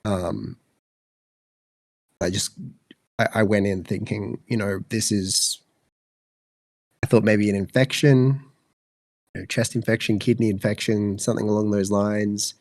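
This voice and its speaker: A man's voice: lowish voice, fairly even intonation, unhurried, long pauses, a little mellow, dry recording. A man in his twenties with an Australian accent, co-hosting a podcast, sounding congested and fluey, rambling with many fillers. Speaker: podcast co-host. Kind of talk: casual chat.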